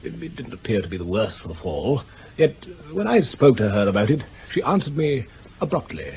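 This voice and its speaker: An actor playing a pompous, easily affronted aristocrat in a radio drama, with an upper-class English accent and a stiff, hesitant delivery. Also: male voice, mid-range voice, sing-song, brisk, a little mellow. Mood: defensive, disdainful. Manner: pompous, stiff, hesitant over delicate words. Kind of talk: 1950s-60s BBC radio drama acting. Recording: muffled, hissy old radio recording.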